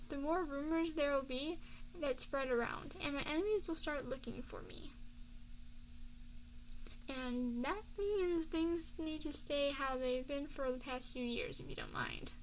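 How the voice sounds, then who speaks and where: A woman playing an affectionate young mafia boss girlfriend in an ASMR roleplay, speaking in a soft, confiding, measured way close to a binaural microphone. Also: sits high, a little hiss or hum, rough recording. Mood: tender, resigned. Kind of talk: ASMR girlfriend roleplay, scripted intimate monologue.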